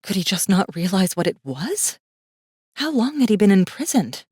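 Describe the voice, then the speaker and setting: A woman's voice: medium pitch, very animated, moderate pace, darkish tone, husky, no room sound, clean studio sound. A woman narrating a fantasy audiobook in a dramatic, scripted style with tense, suspenseful storytelling.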